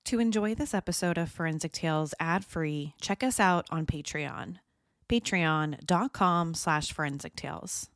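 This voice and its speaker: An American woman hosting a true crime podcast, narrating from a script in a measured, serious storytelling manner. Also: low-pitched, a bit bright, no echo, clean studio sound.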